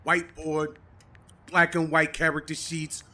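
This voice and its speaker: Guy acting a pompous whiny gamer dude, high-ish voice, theatrical paladin type, comedy audio play. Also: completely flat delivery, a little slow, bright, forceful tone. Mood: indignant complaining. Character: pompous, whiny man playing a boastful paladin in a tabletop game.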